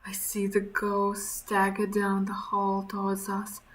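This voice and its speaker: Bright-voiced woman streamer reading aloud. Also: mid-range voice, slow. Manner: expressive, sweary. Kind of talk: narration with off-the-cuff reactions.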